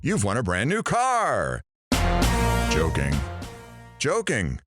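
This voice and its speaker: A man's voice: huge pitch swings, takes it slowly, over loud music, exaggerated voice. A man playing a wisecracking, teasing radio talk show host in an audio drama, sarcastic and theatrical. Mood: mocking, playful. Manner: sarcastic, theatrical swoops in pitch.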